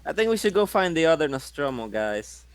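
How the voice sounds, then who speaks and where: A man's voice: fairly high pitch, very animated, brisk. A man playing a tabletop game, talking casually and bluntly, with some swearing.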